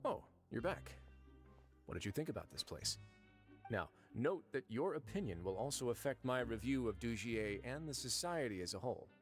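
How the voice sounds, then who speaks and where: An actor playing a composed male prison warden in a game, speaking in a calm, measured, lightly wry way. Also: medium pitch, faint music behind.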